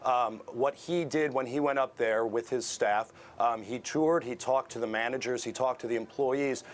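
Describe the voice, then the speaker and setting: An American-accented man speaks as an expert news guest at an average pitch. His explanations come haltingly, with small hesitations, over faint background noise.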